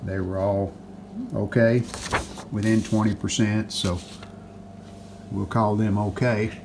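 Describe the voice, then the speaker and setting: A man giving a casual hands-on repair walkthrough, unhurried and thinking aloud as he works at an indoor bench. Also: fairly low pitch, flat delivery. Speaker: hobbyist restorer presenting a repair video. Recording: clear background noise.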